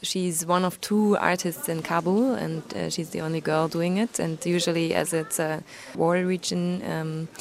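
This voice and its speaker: Low, flat, gravelly woman organizer. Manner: measured, with pauses and "um". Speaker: festival organizer. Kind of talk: interview.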